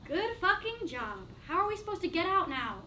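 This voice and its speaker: A woman's voice: very high-pitched, big pitch swings, a little fast, sharp tone, full character voice. An actress playing a blunt, sarcastic roommate in her twenties in a stage play, mocking and judgmental. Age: young adult. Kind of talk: one-act play dialogue. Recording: distant mic, faint background noise.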